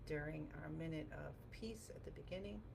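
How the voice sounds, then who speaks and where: A woman with a gravelly voice guiding a qigong class through movement and meditation in a gentle, encouraging, informal way. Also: low voice, a little fast. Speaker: qigong teacher. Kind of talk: guided movement and meditation instruction. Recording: clearly noisy background.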